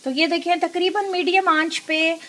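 A woman hosting a cooking show, giving unhurried step-by-step instructions in a home kitchen with frequent filler pauses. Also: very high voice, fairly even intonation, sharp tone.

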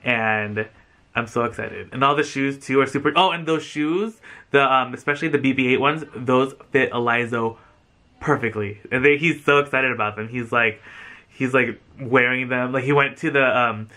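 A bright, forward male voice with an American accent and a medium pitch. He chats effusively in a loose, rambling vlog style, peppering his sentences with "like". He sounds like a man in his thirties talking to a camera at home. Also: normal speed. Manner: effusive, chatty, rambling with frequent "like". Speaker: vlogger and thrift reseller. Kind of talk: casual chatty vlog to camera. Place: small furnished room indoors, on a sofa.

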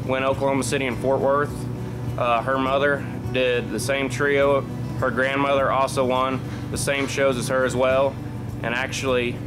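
A man's voice: medium pitch, poor audio. Young man in a cattle barn, plain tone. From Texas. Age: late teens. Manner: matter-of-fact, lists show results and routines. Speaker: junior cattle exhibitor. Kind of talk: interview monologue. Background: background music.

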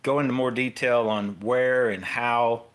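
A man's voice: medium pitch, fairly even intonation, a little slow, fairly bright tone. A middle-aged man with an American accent gives a casual, unscripted fishing tutorial as host, rambling informally and often interrupting himself.

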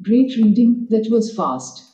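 Lady app helper voice, super slow and really mellow, encouraging prompts. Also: on the high side, expressive. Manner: encouraging, instructional. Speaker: reading app voice assistant. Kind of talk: scripted app prompts and praise. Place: played from a device speaker in a room, picked up by camera. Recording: dull app playback in echoey room.

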